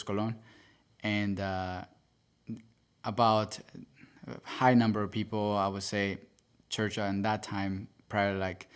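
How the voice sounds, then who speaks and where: A man's voice: fairly low pitch, a bit bright, clear, resonant tone. A young man from El Salvador, a podcast guest, telling his story earnestly with frequent fillers and self-corrections. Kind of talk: casual interview storytelling.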